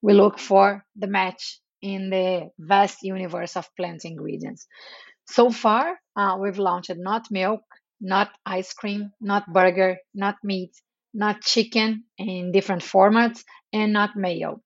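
A Brazilian woman in her forties speaking Portuguese as her first language, giving a measured podcast interview with frequent pauses. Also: mid-range voice, steady tone, creaky, no room sound, lo-fi sound. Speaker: chief marketing officer, guest.

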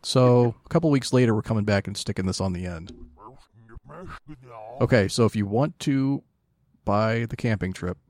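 A man's voice: on the low side, moderate pace, long pauses, a little mellow. A man co-hosting a podcast with casual, wry, joking banter. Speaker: podcast co-host.

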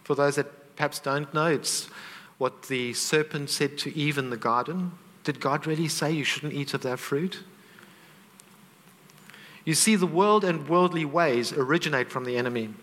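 A man's voice: a little high, moderate pace, a bit bright, big-room sound. A man preaching a sermon, earnest and conversational, with asides to the congregation.

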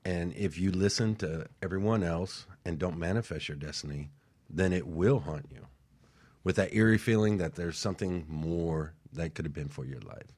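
A man's voice: sits low, moderate pace, darkish tone. A male co-host delivering an emphatic motivational speech with a preaching cadence.

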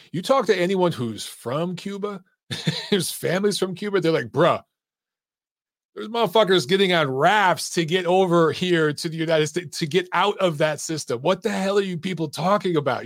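A man's voice: a little high, a bit bright, no room sound, clean studio sound. A man hosting a boxing call-in show, chatting casually and animatedly, swearing and laughing along with callers. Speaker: boxing talk show host. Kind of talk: casual live call-in chat.

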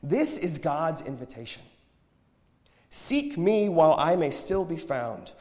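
A man's voice: a little high, big pitch swings, slow, dark tone, voice pushed up. A man playing a prophet who preaches with tender yet challenging, pleading conviction in a dramatized scripture story. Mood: proclaiming. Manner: declamatory, pleading, pointed. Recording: echoey hall with long reverb.

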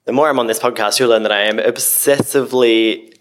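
A man's voice: medium pitch, steady tone, brisk, fairly bright tone. A man, a guest on a podcast, talking casually and easily with lots of "like". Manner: easygoing, says "like" a lot.

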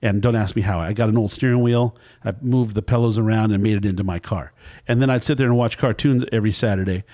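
A man's voice: medium pitch, darkish tone. Fifties guy hosting a food podcast, drifts off into long rambly stories. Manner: rambling storyteller, wandering anecdotes. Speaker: podcast host. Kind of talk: casual interview chat.

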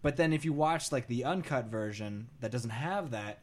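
A man's voice: mid-range voice, animated, moderate pace. A man co-hosting a podcast, chatting casually with joking, occasionally sweary banter. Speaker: pop culture podcast co-host. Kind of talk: casual group chat.

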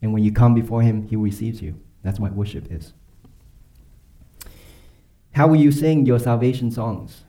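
Man, pastor, very dark mellow tone, earnest sermon delivery, emphatic, mid pitch. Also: steady tone, normal speed, pauses often. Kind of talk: sermon and closing prayer.

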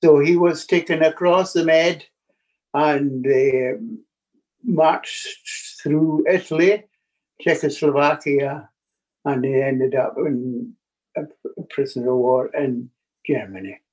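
An older man from Scotland, a guest telling family stories over a video call, speaking in a halting way with many restarts. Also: on the high side, a little mellow, low-quality recording.

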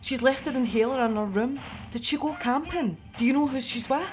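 A woman's voice: high voice, brisk, lightly acted. A woman with a Scottish accent acting in a radio drama as an anxious investigator. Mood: worried. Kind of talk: radio drama, reading research aloud.

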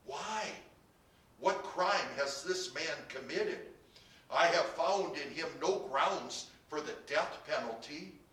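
A man's voice: fairly high pitch, expressive, slow, bright, forceful tone, pitched above the performer's own voice, heavily acted. A man voicing a troubled Roman governor, commanding yet pleading, with emphatic declamation in a dramatized scripture telling. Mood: pleading, exasperated. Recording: echoey room, distant microphone.

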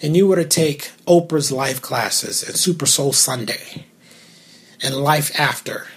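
A man with a naturally raspy voice, hosting his own talk show alone, talking candidly and cracking asides. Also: on the high side, measured pace, a bit bright. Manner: candid and joking, with asides and filler words. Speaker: podcast host. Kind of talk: solo casual talk show.

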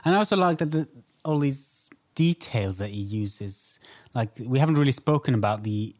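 Guy with an average-pitched voice talking film on a podcast, kinda tentative, thoughtful, casual. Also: lively intonation, normal speed. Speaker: podcast co-host.

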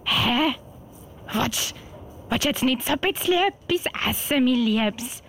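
Girl doing a confused friendly old swiss german granny, high n loud, keeps repeating herself, radio play w bit of noise. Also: lively intonation, full character voice. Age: older. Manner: muddled, repetitive, halting. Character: muddled, friendly, loud old woman offering food. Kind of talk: amateur radio play acting. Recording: noisy amateur radio play recording.

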